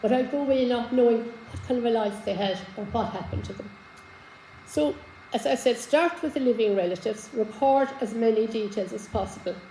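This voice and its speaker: A hoarse woman giving an informal genealogy talk to a live audience, chatty, with many fillers and restarts. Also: on the high side, warm tone, reverberant, a little hiss or hum, rough recording. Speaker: genealogy speaker. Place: community hall with an audience. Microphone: handheld microphone.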